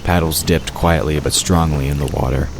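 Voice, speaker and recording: A man's voice: extremely low pitch, steady tone, normal speed, noisy background. A man reading scripted fantasy story narration as the narrator of an audio drama.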